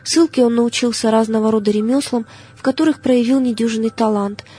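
Husky woman narrator with a mellow tone. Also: on the high side, steady tone, a little fast. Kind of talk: scripted audiobook narration of a religious biography.

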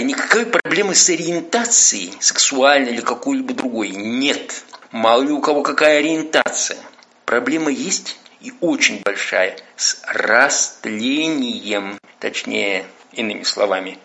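A man, a priest, speaking in an emphatic, lecturing way, leaning hard on slow, stressed words. He is heard over a phone line into a radio studio. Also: mid-range voice, sharp tone. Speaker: priest guest. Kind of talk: sermon-like commentary.

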